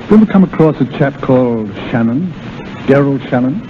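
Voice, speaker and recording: An actor playing a genial, tactful gentleman doctor in a 1930s radio drama. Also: mid-range voice, lively intonation, brisk, close to an everyday voice. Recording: tinny, noisy 1930s radio recording.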